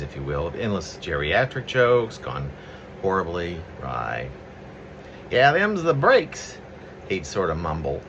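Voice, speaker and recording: Male poet, low-pitched, swooping intonation, casual and halting delivery, home recording with noticeable steady room hum. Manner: rambling, halting, conversational asides. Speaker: poet reading his own work. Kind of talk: casual preamble and poetry reading.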